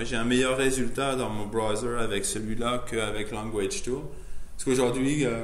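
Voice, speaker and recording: A man, a non-native French speaker, giving a loose, chatty software demo full of fillers and restarts. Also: medium pitch. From immigrant to Canada. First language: not French. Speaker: presenter showing his editor setup. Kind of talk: casual live software demo. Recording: echoey room, faint noise, keyboard typing.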